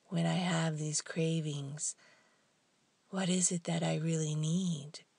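A woman guides a meditation in a hushed, husky and breathy voice. Her delivery is soft and very even in pitch, with long pauses between short phrases. The sound is close and dry. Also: no room sound.

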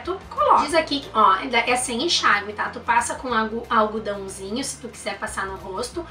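A woman's voice: fairly high pitch, bright, forceful tone, echoey room, far from the mic. A young woman, reviewing baby products in a chatty, enthusiastic way and using lots of diminutives. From Brazil. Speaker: mom vlogger. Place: indoor room.

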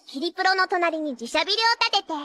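A woman voicing a bubbly, scatterbrained teenage girl idol and magical nurse in an anime, excitable and cutesy, with theatrical delivery. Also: extremely high pitch, fast talker, no echo. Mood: cheerful, boastful. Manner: excitable, cutesy, theatrical, with playful catlike sentence endings. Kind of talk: exaggerated Japanese anime voice acting.

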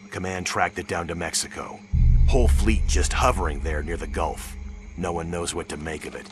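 A tough soldier in a video game, played by a man, blunt and weary. His voice is low and grim, with music clearly behind it. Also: moderate pace, lightly acted. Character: grim, tough young male American soldier. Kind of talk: video game cutscene acting.

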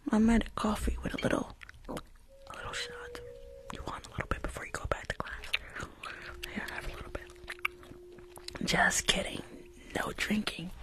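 A woman acts out a rude New York school nurse for an ASMR roleplay. She whispers slowly with a gritty creak, impatient and gum-chewing, repeating curt little instructions right at the mic. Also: sits high, heavily acted. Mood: sly, weary. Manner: brusque, repetitive, mock-soothing, complaining. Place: small bedroom. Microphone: close furry-covered condenser microphone.

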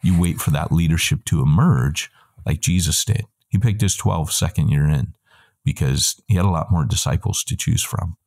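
A middle-aged man, a guest on a video call, giving a long, animated answer full of quick asides and self-corrections, heard through wired earbuds in a room at home. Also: extremely low pitch, creaky. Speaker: guest, church planting trainer.

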